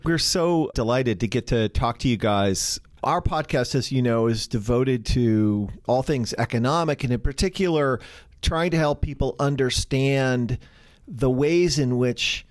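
A man's voice: medium pitch, no room sound, studio quality. A man co-hosting an economics podcast, introducing guests in an earnest, wry way. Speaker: podcast co-host. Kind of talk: podcast interview.

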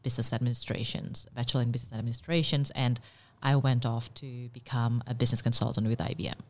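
A woman, telling her story in a casual interview, animated and full of fillers and restarts. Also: a bass voice, fast. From Indonesia. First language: Indonesian. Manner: animated, many fillers and restarts, laughs readily. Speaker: pastry chef and business owner.

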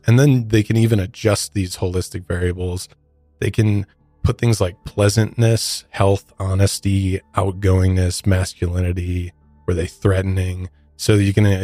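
Deep-voiced man co-hosting, close and dry. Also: a little mellow, no echo. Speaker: podcast co-host. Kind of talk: true-crime podcast discussion.

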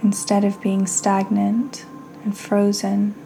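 A woman hosting a guided meditation, speaking soothingly in short phrases with long pauses and breathy endings. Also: medium pitch, monotone, warm tone, soft background music, poor audio. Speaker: podcast host and meditation guide.

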